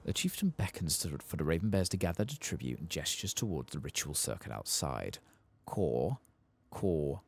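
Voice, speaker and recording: A man with an extremely low, gravelly voice speaks softly over a video game in an ASMR style. His pitch wanders up and down as he muses to himself, pausing to think between unhurried remarks. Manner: musing to himself, hesitant, unhurried asides. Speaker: ASMR gaming streamer narrating his play. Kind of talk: soft-spoken ASMR game commentary, reading quest text aloud.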